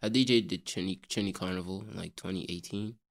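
Low-voiced young Brooklyn man. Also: creaky. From Brooklyn, New York. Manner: halting, full of "like" fillers. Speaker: guest, DJ and rapper. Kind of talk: casual interview answers.

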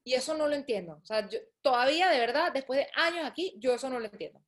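A woman from Venezuela, a guest telling stories in a casual interview, animated and often acting out other people's words. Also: high-pitched, fast, crowd noise. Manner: lively, acts out quoted people, laughs often. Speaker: guest, shoe designer.

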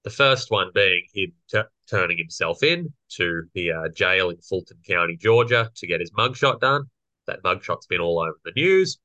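A young man with a slightly croaky voice, co-hosting a casual political podcast and explaining legal points. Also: low-pitched, expressive, moderate pace, pushed and bright, dry recording, low-quality recording. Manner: explanatory, lawyerly. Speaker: podcast co-host.

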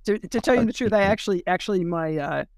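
A man in a casual literary discussion, thinking aloud in a halting way and often repeating and restarting his phrases. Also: high-pitched, lively intonation, no room sound, clean studio sound. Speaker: podcast discussion co-host.

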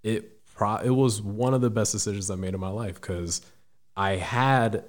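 Young man with a deep voice. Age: 20s. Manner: thoughtful, halting, restarts sentences. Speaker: guest. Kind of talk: casual interview.

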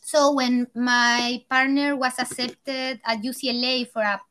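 A woman from Chile with a Chilean accent, a native Spanish speaker talking casually in halting English. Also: fairly high pitch, flat delivery, pushed and bright, clear, resonant tone. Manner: halting, searching for words in second-language English. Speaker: guest, embroidery artist. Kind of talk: casual conversation.

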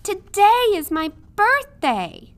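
A woman narrator acts out a poor little storybook girl of seven. Her voice is raised and high, eager and full of hope. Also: huge pitch swings, slow, full character voice. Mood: hopeful, shouting. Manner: calling out eagerly. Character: poor, hopeful seven-year-old girl.

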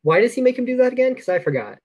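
A man's voice: extremely high pitch, big pitch swings, rapid-fire, darkish tone, dry recording. A man co-hosting a podcast, chatting casually about films in a laid-back, dryly joking way. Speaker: podcast co-host. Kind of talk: casual film discussion chat.

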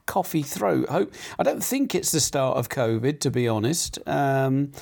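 A middle-aged man with a croaky throat, hosting a casual chat show, rambling with many fillers and restarts. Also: medium pitch, animated, unhurried, a little mellow.

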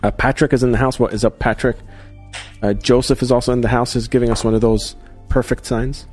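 Relaxed male livestream host. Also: mid-range voice, fairly quick, faint music behind. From Australia. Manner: relaxed, loose with fillers and restarts. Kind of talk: casual chat on a livestream.

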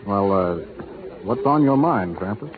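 An actor playing an easygoing but discontented young cowhand in a 1930s radio drama, talking in a folksy, casual way. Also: male voice, lowish voice, animated, unhurried, noisy background. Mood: complaining. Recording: tinny 1930s radio broadcast.

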